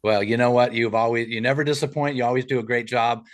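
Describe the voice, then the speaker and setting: A man hosts a live podcast call, friendly and encouraging as he introduces guests. He keeps the talk moving and builds excitement. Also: mid-range voice, quick pace. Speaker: podcast host. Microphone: remote call.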